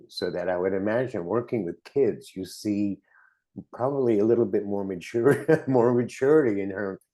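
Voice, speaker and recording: A man's voice: fairly low pitch, mellow. A man hosting a podcast interview, friendly and a bit rambling, with sentences that trail off. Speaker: podcast host.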